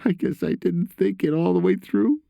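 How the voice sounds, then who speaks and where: Audiobook character: frightened, tearful son, played by a man. High, whiny, trembling, pleading delivery. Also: normal speed, creaky, warm tone, dry recording. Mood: scared. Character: frightened tearful teenage son confessing on the phone.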